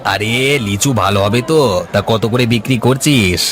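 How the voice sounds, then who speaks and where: A man's voice: lowish voice, normal speed, natural voice. A man playing a chatty, curious village customer in a cartoon fairy tale, with a familiar, hearty manner.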